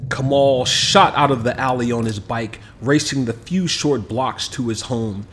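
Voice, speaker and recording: A man reading a children's picture book aloud as an expressive scripted narration, with music behind him. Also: medium pitch, unhurried, slight background noise. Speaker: storybook narrator. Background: background music.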